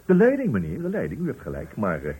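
An actor playing a nervous, deferential factory foreman in a radio play, raspy and eager to agree with his superior. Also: lowish voice, huge pitch swings, quick pace, mellow, rough recording. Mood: nervous, placating. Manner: deferential, hesitant, ingratiating. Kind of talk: Dutch radio play acting.

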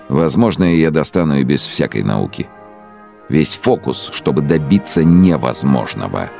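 Fanatical brooding dictator, extremely low voice, pompous and scornful, radio play monologue, loud music behind. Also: male voice, creaky, exaggerated voice. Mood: indignant, fanatical. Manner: scornful, pompous, emphatic short phrases. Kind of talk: radio drama interior monologue.

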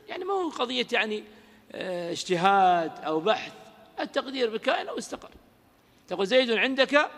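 A man teaching grammar in a lecture, quizzing his listeners with rhetorical questions and reciting verse lines slowly. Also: high voice, gravelly, a bit bright. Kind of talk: lecture explaining a classical grammar poem. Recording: lecture audio with long hall reverb.

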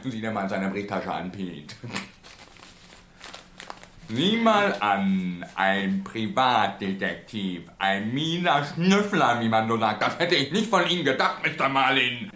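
A man's voice: on the low side, very animated, takes it slowly, sharp tone, echoey room, full character voice. An actor playing a smug, menacing gangster henchman who threatens with mock politeness in a radio crime drama. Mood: mocking menace. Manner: mock-polite, repeats catchphrases.

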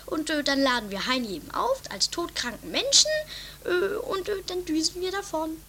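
A woman's voice: animated, moderate pace, creaky, sharp tone, exaggerated voice. A woman playing a bossy, flustered female schemer who stammers through an improvised comedy radio play. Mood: bossy, impatient. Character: Doris, bossy, scatterbrained schemer, a squeaky dramatic woman. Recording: noisy low-budget 1980s radio play recording.

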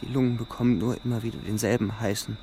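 An actor playing a panicking soldier in a radio drama, breathless and urgent, repeating himself tensely. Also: male voice, lowish voice, steady tone, fast, mellow, a little hiss or hum, natural voice. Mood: suffocating dread. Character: panicking soldier in a gas mask, tense inner voice.

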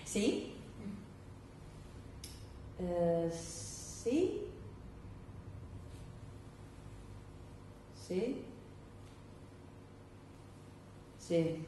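A woman's voice: fairly low pitch, very animated, drawn-out words, dark tone, pitched below the performer's own voice, exaggerated voice. A woman playing an over-agreeable, desperate job applicant in her thirties, gushing and repetitive in a theatrical satirical monologue. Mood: anxious, agreeable. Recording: very echoey stage, distant mic, faint noise.

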